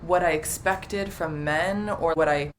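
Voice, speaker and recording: A woman's voice: low-pitched, unhurried, slight background noise. A young woman in her twenties, a relationship coach, talking casually and openly with many fillers as an interview guest. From half Dominican, half Italian, partly raised in the US. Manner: chatty, many "like" fillers, warm and open.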